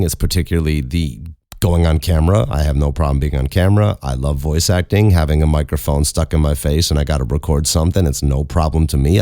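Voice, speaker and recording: A young man hosting a casual Q and A, with a very low speaking voice, rambling and self-mocking and swearing casually. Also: mellow, dry recording, studio quality. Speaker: podcast host and voice actor. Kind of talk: casual Q and A chat.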